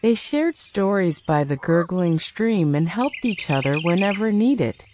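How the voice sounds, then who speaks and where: A woman's voice: fairly low pitch, sing-song, measured pace. Woman, storyteller, scripted children's tale, noisy backing of crickets and birdsong.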